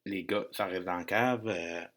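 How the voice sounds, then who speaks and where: A man's voice: low voice, unhurried, fairly bright tone. A man with an Acadian French accent hosting a solo podcast in an informal, joking, rambling way with bits of English slang. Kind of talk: casual podcast monologue.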